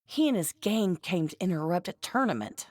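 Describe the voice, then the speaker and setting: A woman voicing a fretful, scolding anime mother in a video game, blunt and expressive. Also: fairly low pitch, moderate pace, no echo. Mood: matter-of-fact. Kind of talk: animated game voice acting.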